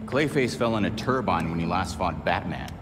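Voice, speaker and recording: A man's voice: low voice, lively intonation, moderate pace. A young man voicing a blunt, sarcastic vigilante hero in a game cutscene. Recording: echoey cutscene hall with loud music.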